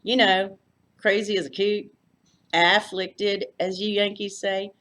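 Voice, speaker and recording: A woman voicing a friendly, chatty retired nurse with a deep South Texas drawl in a story read aloud. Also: flat delivery, takes it slowly, a bit bright. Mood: gossipy, folksy. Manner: effusive, folksy drawl.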